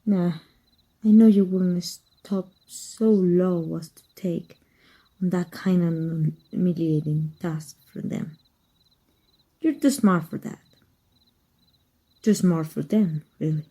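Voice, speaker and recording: A woman playing an exiled evil magic teacher in an ASMR roleplay, wry and fond, speaking softly and intimately with a husky, breathy voice. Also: on the low side, poor audio. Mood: wry, guarded. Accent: Mexican. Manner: wry, unhurried, intimate, addressing a former student.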